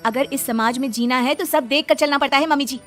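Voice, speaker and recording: A woman's voice: high voice, quick pace, faint music behind, close to an everyday voice. A woman voicing a prudish, scolding married daughter-in-law in a cartoon story, dramatic and gossipy. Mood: indignant, scolding.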